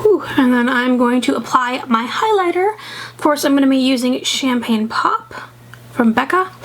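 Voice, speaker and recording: A woman's voice: sits high, lively intonation, moderate pace. A young woman vlogger chatting through her makeup routine, sniffly and congested, rambling and second-guessing herself as she talks. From American South. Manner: rambling and self-doubting, full of fillers. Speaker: beauty vlogger. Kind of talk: chatty get-ready-with-me makeup tutorial. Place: home, at a makeup table. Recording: noisy home recording.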